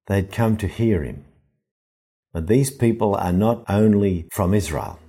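A man giving a reflective scripture sermon as a priest, in a measured, deliberate, explanatory manner. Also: low voice, warm tone, breathy edge.

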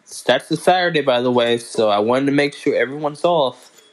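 A young man hosting a casual solo podcast, talking in a halting way with many restarts and stumbles. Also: mid-range voice, poor audio. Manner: halting, restarts and fillers, slow unhurried delivery. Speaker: podcast host.

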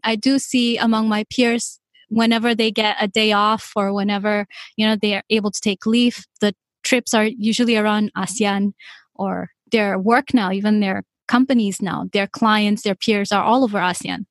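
A woman's voice: medium pitch, fairly even intonation, normal speed, no echo. A woman from Malaysia, speaking as an interviewer in a conversational style.

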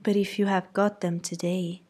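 A woman voicing a sensible young woman who speaks in a hushed whisper in a storybook reading. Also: fairly low pitch, level tone, normal speed, breathy edge.

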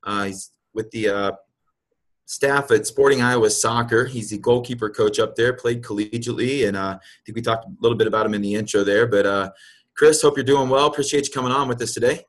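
A man's voice: mid-range voice, fairly quick. A man hosting a podcast, talking casually and hesitantly, with lots of fillers and restarts. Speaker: podcast host.

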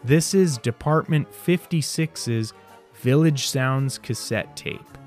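A man's voice: medium pitch, slow pace, darkish tone. Theatrical male podcast host over music. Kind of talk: scripted podcast narration with spooky flavour. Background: music behind the voice.

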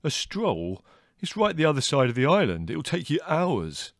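A man's voice: sits low, lively intonation, takes it slowly, no room sound. Guy doing blunt skeptical young dude, close and dry. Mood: incredulous.

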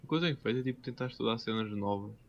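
Very fast-talking young Portuguese man, dull recording. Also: mid-range voice. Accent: European Portuguese. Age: young adult. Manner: slangy, informal. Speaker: podcast co-host. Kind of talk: casual chat. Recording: dull, lo-fi sound.

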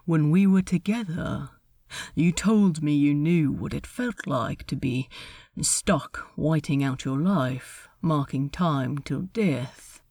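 A woman's voice: takes it slowly, dark tone, no echo, studio quality, full character voice. A woman voicing a blunt, laid-back pirate man with a sincere side in a story reading. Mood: quiet, sincere. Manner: casual, blunt, low-key.

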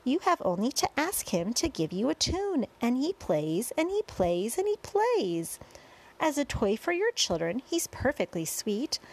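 Lady doing a cheery little storybook boy, high voice, bouncy singsong, proudly showing off his friends. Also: breathy edge, full character voice, low-quality recording. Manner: exclamatory, singsong rhyming delivery. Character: small excited boy, cheerful, polite, proudly introducing friends.

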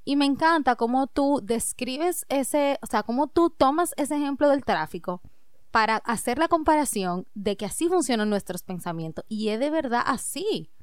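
A woman's voice: high voice, animated, moderate pace, smooth, no echo. Female co-host, easygoing and talkative, close dry sound. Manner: chatty, addresses listeners directly, laughs easily. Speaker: podcast co-host. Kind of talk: casual chat and reading her own writing aloud.

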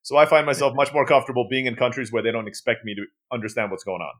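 A quick-talking man with an American-sounding accent, though his first language is Turkish. He chats freely as a podcast guest and laughs often. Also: medium pitch, steady tone, a bit bright. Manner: chatty, laughs easily. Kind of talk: casual interview chat.

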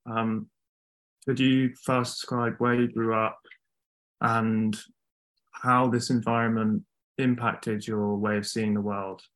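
A man interviewing a guest, asking calm, measured questions. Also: fairly low pitch, rough recording.

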